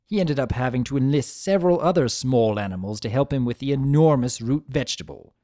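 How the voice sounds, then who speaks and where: A man narrating a story as an expressive, theatrical dramatic reading. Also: mid-range voice, a little fast, no room sound, studio quality. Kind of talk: dramatic fan fiction reading.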